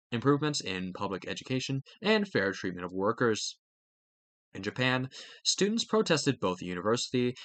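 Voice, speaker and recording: A man reading a textbook aloud for students, stumbling now and then over words in a somewhat halting delivery. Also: mid-range voice, fairly bright tone. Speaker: reader of a study read-aloud.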